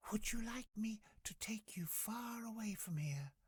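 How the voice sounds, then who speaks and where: A small, gentle storybook bird acted out by a man speaks in a high, breathy falsetto. The delivery is soft, careful and kindly, in a very dry recording. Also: lively intonation, measured pace, no echo, exaggerated voice. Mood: gentle, caring.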